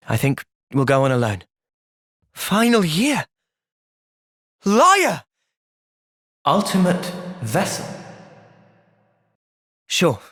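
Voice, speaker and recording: Young male game hero, steadfast, compassionate, wide-ranging pitch, very dry close sound. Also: fairly bright tone, no echo. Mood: urgent, defiant. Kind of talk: video game cutscene voice acting.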